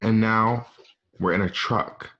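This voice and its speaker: A man's voice: fairly low pitch, fairly even intonation, takes it slowly. A man narrating stage directions for a radio play in plain speech. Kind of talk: plain spoken scene narration. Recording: slightly dull home recording.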